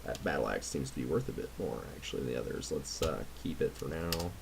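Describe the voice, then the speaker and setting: A man giving casual, low-key video game commentary, thinking aloud as he plays. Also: quick pace, gravelly. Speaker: let's play host. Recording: noisy mic with keyboard clicks.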